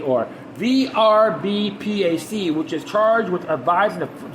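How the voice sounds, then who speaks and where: A man hosting a podcast, reading news articles aloud with sarcastic asides and stumbling over words. He has a high-pitched voice. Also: a bit bright. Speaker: podcast host. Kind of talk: ranting commentary while reading news articles. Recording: echoey room with background noise.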